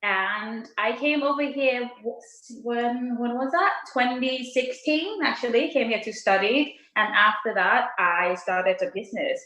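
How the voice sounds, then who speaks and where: A woman's voice: fairly high pitch, unhurried, hardly any pauses, pushed and bright, highs cut off. Young Thai woman with an echoey sound. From Thailand. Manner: friendly, explaining step by step. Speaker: guest chef. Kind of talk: cooking demonstration. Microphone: video call.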